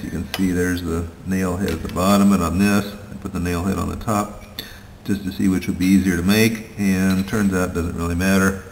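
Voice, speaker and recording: A middle-aged man with a deep, gravelly voice talks through his home projects in a plain, halting way, full of fillers. The room is bare and echoey with a long reverb tail, and a faint hum of ambience sits behind him. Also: a little mellow. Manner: hesitant, plain-spoken, many pauses and fillers. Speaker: hobby welder showing his projects. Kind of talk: casual show-and-tell narration.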